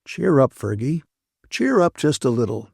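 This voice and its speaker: A man voicing a calm, cheerful young woman in an audiobook, affectionate, gently teasing and unruffled. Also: mid-range voice, moderate pace, gravelly, slightly rough, no echo, clean studio sound, pitched above the performer's own voice, full character voice.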